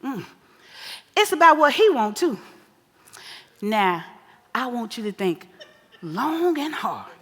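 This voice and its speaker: A woman's voice: fairly high pitch, big pitch swings, slightly rough, full character voice. A woman on stage playing a bawdy, boisterous older mother who schools her grown daughter, sassy and preachy in a big theater hall. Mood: admonishing. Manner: sassy, preachy, exclamatory, drawn-out emphasis. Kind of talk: broad comedic stage play acting. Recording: echoey theater hall with long reverb.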